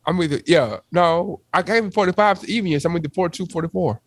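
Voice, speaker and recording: A man's voice: sits high, quick pace, no room sound. A man in his forties co-hosting a podcast, rambling in casual chat and repeating himself when flustered. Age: 40s. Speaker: podcast co-host.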